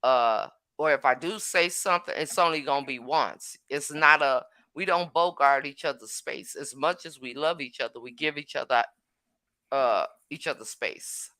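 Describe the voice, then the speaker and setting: A woman talking in a relaxed, conversational way on a podcast. Her voice is bright and crisp, and it sits close to a dry, dead mic. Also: very deep voice, normal speed, no room sound. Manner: laid-back, conversational, reads listener comments. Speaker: podcast co-host. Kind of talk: casual chat.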